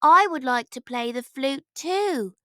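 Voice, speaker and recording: Excitable boy prince for a storybook, acted by a woman, high voice, swooping delivery, brave through his fear. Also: unhurried, dry recording, clean studio sound, heavily acted. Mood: friendly. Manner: exclaiming, dramatic.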